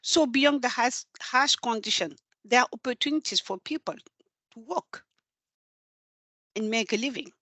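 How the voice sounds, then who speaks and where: A woman with a Senegalese accent presenting in an earnest, measured, storytelling way in a prepared talk. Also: fairly high pitch, lively intonation, fairly bright tone, no room sound. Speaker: presenter from a development organisation.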